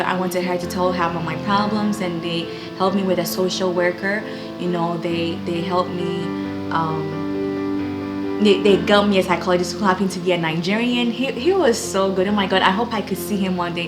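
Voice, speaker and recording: A young woman with a Ghanaian accent giving an earnest, encouraging personal faith testimony. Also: fairly low pitch. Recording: echoey room with background music.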